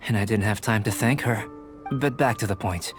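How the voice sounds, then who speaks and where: A man voicing a calm, cerebral young alchemist in a video game, speaking in a measured, detached, scholarly manner. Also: lowish voice, faint music behind, lightly acted. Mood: composed.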